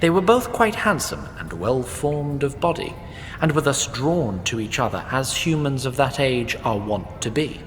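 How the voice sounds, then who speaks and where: A man narrating a fantasy comedy in a wry, formal storytelling manner, his delivery animated and lively. The recording carries a long reverb tail and a faint low rumble underneath. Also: normal speed. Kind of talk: scripted narration.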